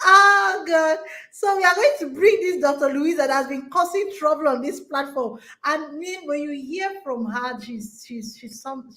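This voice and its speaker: High-voiced woman giving an emphatic pep talk. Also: bright, forceful tone. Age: 40s. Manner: emphatic and exhorting, with repeated rallying phrases. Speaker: conference host and women's coach. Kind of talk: motivational talk to an online audience.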